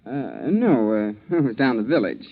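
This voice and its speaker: A man's voice: medium pitch, big pitch swings, normal speed, gravelly. An actor playing a lazy, insolent young man with a glib, self-satisfied manner in a 1940s radio drama. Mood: evasive. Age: young adult. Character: lazy, insolent, sly college-age son. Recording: muffled vintage 1940s radio recording.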